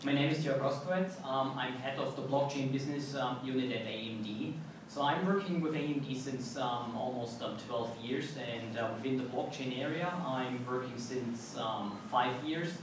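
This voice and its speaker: A middle-aged man speaks at an average pitch, halting and filling gaps with frequent "um"s as he explains his work on a panel. His voice comes from a distance in a booming, echoey hall with noticeable background noise. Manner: hesitant, many "um" fillers, slightly nonstandard phrasing. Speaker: tech company executive on a panel. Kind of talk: panel self-introduction. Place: conference hall.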